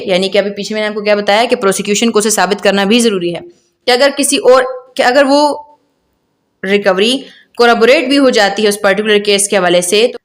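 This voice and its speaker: A woman lecturing on law in an explanatory, didactic way, repeating and rephrasing points with rhetorical questions. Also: medium pitch. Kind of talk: explanatory law lecture mixing Hindi-Urdu and English.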